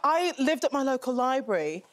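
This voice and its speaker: A woman's voice: sits high, very animated, brisk. A middle-aged woman author, a guest on a TV talk show, talking thoughtfully and earnestly and laughing easily. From England. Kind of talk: casual chat. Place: TV studio.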